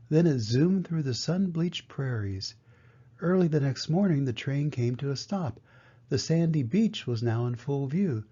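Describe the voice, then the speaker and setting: A man's voice: medium pitch, dark tone, slightly rough. An older man reading a children's picture book aloud as a grandfather, in storybook narration style. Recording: dull lo-fi home recording.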